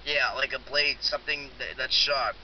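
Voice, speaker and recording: A man's voice: medium pitch, steady tone, moderate pace, natural voice. A man playing an insistent, pleading security technician on a prank phone call, repeating himself. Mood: instructing. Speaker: prank caller. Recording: dull lo-fi phone recording with hiss.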